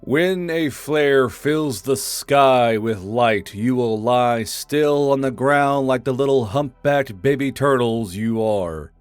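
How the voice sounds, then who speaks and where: A man playing a gruff military drill sergeant with a barking, commanding delivery in a dramatized audiobook. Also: mid-range voice, takes it slowly, hardly any pauses, no room sound, soft background music, lightly acted. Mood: stern, menacing. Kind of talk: dramatized audiobook character acting.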